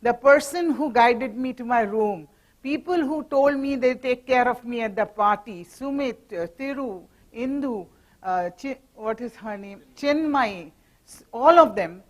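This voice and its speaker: A middle-aged woman with an Indian English accent speaks on a mic to a company audience in a hall. Her voice sits a little high, and she keeps the talk light with jokes and teasing asides to the room. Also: unhurried. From Mumbai, India. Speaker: guest speaker, professor. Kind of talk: corporate talk with slides. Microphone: handheld or stand mic.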